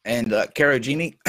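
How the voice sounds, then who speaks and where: A man hosting a casual talk show, losing his voice and talking haltingly with many fillers. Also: mid-range voice, a bit bright, slightly rough, dry recording, studio quality. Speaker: show host.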